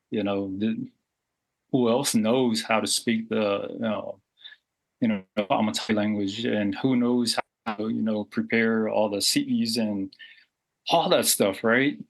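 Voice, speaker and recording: A low-pitched man in his forties, born and raised in Samoa, speaking in a candid interview. He talks earnestly and reflectively, circling back with fillers and restarts as he thinks aloud. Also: takes it slowly, pauses often, low-quality recording. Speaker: guest.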